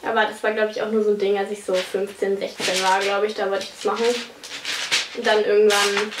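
A chatty young woman talks straight to her camera in a small bedroom, her voice sitting a little high. She rambles along with plenty of fillers, and the room gives her words a noticeable echo. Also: noisy background. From Germany. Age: young adult. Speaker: vlogger. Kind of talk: casual chat while baking. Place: bedroom at home, indoors.

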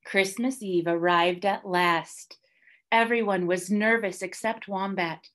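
A young woman reading a children's story aloud like a teacher, deliberately and with dramatic emphasis. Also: medium pitch, steady tone, fairly bright tone. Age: young adult. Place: small classroom, indoors, by a whiteboard.

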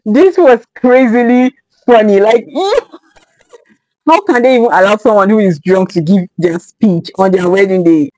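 A woman's voice: medium pitch, measured pace, mellow, dry recording, poor audio. Chatty young woman reacting to a video. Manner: chatty, incredulous, laughing asides. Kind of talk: casual reaction commentary.

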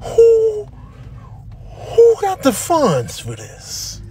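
A man's voice: extremely high pitch, wildly swooping pitch, extremely slow, mellow, slight background noise. A man doing comedic voiceover commentary in an exaggerated, incredulous, slangy way.

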